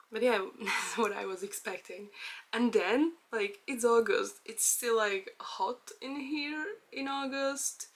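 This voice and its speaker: A woman's voice: fairly high pitch, takes it slowly, fairly bright tone. A young woman from the Czech Republic with a Czech accent, speaking English in a hesitant personal vlog, with many fillers and small laughs. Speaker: vlogger. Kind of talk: casual personal chat.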